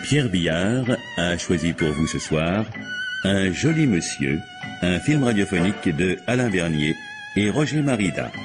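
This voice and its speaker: Male announcer, low lively voice, scripted radio introduction, old broadcast, music behind. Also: a little slow.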